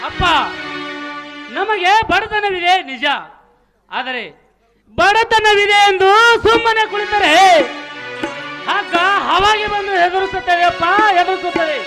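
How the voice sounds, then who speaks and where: Man acting a very high-pitched, defiant young hero. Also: takes it slowly, pauses often. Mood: earnest, pleading. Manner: declamatory, emphatic, repeating phrases for effect. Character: defiant, proud poor young man, protective brother. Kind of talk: melodramatic Kannada stage drama. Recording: stage recording drowned in loud music.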